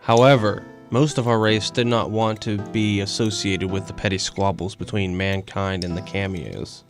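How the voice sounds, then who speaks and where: A man's voice: on the low side, fairly even intonation, normal speed, music in the background, lightly acted. A man playing a solemn canine-feline hybrid creature who speaks formally as a rebel ally in an audio drama. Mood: solemn, respectful. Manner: formal, courtly phrasing. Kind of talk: scripted audio drama dialogue.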